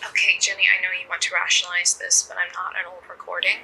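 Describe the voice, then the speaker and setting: A woman playing an intern's steady future self in an audio drama, counselling in a measured, coaxing way with small hesitations. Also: fairly low pitch, vocal fry, a little hiss or hum, full character voice, rough recording. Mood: calming. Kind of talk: naturalistic audio drama acting.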